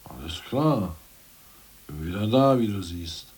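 An actor playing a scheming, self-assured ousted party official in a radio drama, brisk and mocking, who slips into bureaucratic jargon. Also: male voice, low-pitched, expressive, a little mellow, husky, exaggerated voice. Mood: casual. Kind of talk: 1980s radio drama acting.

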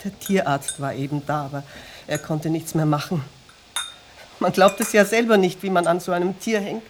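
An actress in a radio play as a sociable, concerned middle-aged country innkeeper, polite and chatty. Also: female voice, on the low side, steady tone, moderate pace, a little hiss or hum, natural voice. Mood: sad.

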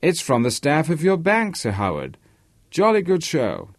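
A man's voice: a little high, wildly swooping pitch, unhurried, dry recording, clean studio sound, pitched above the performer's own voice, full character voice. A man voicing a boastful, blustering, talkative rich American racehorse owner in an audiobook. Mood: loud, jovial. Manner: loquacious, blustering. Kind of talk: audiobook character voice.

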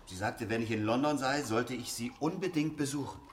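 An actor playing a politely persistent, ingratiating journalist visitor in a radio drama, deferential and fawning. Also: male voice, mid-range voice, a little fast, a little hiss or hum, close to an everyday voice. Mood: apologetic. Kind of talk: German radio drama acting.

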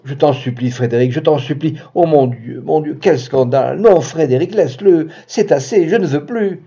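A man's voice: fairly high pitch, normal speed, creaky, dark tone, breathy edge. A man voicing a tearful young woman who begs and pleads, on a dull lo-fi recording. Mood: pleading.

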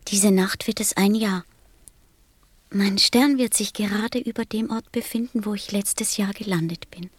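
A woman playing a gentle, wistful small boy from a star in a German radio play, speaking softly, tenderly and solemnly. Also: mid-range voice, measured pace, no room sound, natural voice. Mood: quiet, apprehensive. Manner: tender, solemn, simply spoken.

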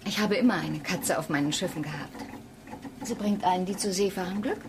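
An actress playing a proud, determined sailor in a radio drama, speaking earnestly with deliberate pauses. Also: female voice, on the low side, noisy background, close to an everyday voice. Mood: calm, earnest. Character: determined, proud woman sailor, recovering from injury. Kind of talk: German radio drama acting. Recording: studio radio drama with music underneath. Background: music.

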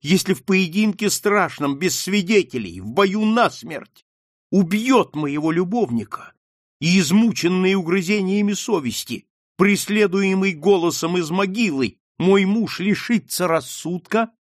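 A man's voice: on the high side, expressive, unhurried, no echo, clean studio sound. A man voicing an anguished young daughter who pleads with her mother, speaking in an impassioned, rising way in an audiobook reading.

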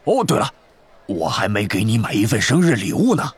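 A big, kindly giant from a storybook, acted out by a man. His voice is low, gruff and husky. He speaks bluntly, with animated swings in pitch. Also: brisk, exaggerated voice. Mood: eager, generous. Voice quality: hoarse, gruff.

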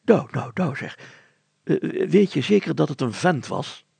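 Pompous, nervy storybook mayor, played by a male narrator: fussy, hemming, stammering, formal, with a rough gravelly edge. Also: a little high, big pitch swings, measured pace, a little mellow, no room sound, voice pushed up, full character voice. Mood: amazed. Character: flustered, pompous mayor, adult man, nervously fussy. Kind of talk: children's story character voice.

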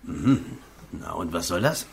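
An actor playing a blunt, earthy manservant and gardener in a German radio play, with a folksy, mocking manner. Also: male voice, sits low, expressive, unhurried, gravelly, a little hiss or hum, exaggerated voice.

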